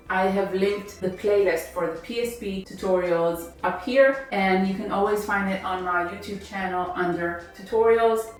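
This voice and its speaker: Woman teaching slowly in an echoey room. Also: lowish voice, recorded from a distance. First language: Hebrew. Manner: clear, deliberate enunciation of example words. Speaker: Hebrew teacher. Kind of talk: scripted online language lesson. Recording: very echoey room, voice set back from the mic, faint background music.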